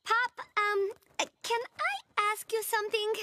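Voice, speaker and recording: A woman voicing an excitable, eager teenage vampire girl in a cartoon, with gushing teen slang and a dramatic streak. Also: extremely high pitch, a little slow, background noise. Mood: gushing, pleading. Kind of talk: animated cartoon acting.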